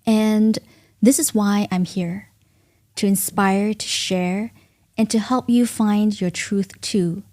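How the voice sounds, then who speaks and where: A woman with a Singaporean accent hosts a solo podcast in a studio, speaking earnestly and reflectively in deliberate phrases with pauses. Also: medium pitch, mellow. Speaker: podcast host.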